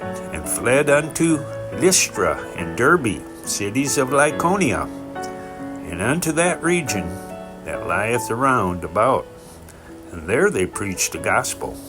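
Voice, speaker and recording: A man reading scripture aloud in a solemn narrated style, with deliberate, emphatic phrasing and pauses. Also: mid-range voice. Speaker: scripture reader. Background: background music.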